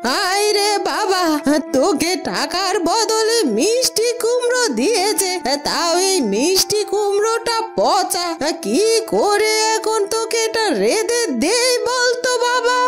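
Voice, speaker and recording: A woman's voice: extremely high pitch, sing-song, slow pace, hardly any pauses, music in the background, exaggerated voice. A woman voicing a poor, caring old village mother with a homely, exclaiming manner in a cartoon. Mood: fretful, caring. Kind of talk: cartoon fairy-tale voice acting.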